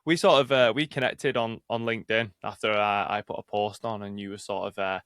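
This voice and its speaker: A man hosting a podcast interview, speaking in a halting way with many restarts. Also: lowish voice, steady tone, a bit bright, smooth, dry recording, clean studio sound. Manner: halting, repeats words and restarts. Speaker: podcast host, personal trainer. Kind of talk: casual interview chat.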